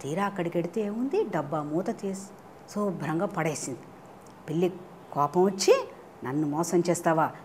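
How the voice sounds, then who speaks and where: A woman's voice: on the low side, lively intonation, normal speed, pauses often, a little mellow. Woman telling children's stories, some background noise. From India. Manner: asks listeners questions, mixes in English phrases. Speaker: children's storyteller. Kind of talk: animated storytelling for children.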